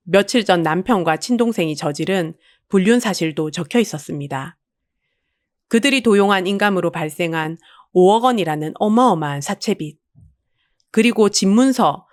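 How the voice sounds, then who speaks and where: A woman narrates a dramatic audio story from a script. Her pitch is mid-range but moves a great deal, and the recording is close and dry with hardly any room sound. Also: no room sound.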